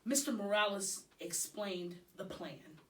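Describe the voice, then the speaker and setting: A woman reading a children's storybook aloud as an expressive narrator. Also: medium pitch, measured pace, recorded from a distance. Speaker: audiobook reader.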